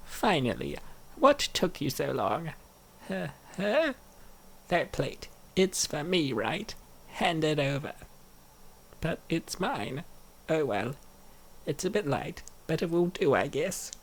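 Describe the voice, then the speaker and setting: A man's voice: on the high side, very animated, slow pace, creaky, long pauses, a bit bright. A man performing an acted reading of comic dialogue, voicing the characters as a dub actor.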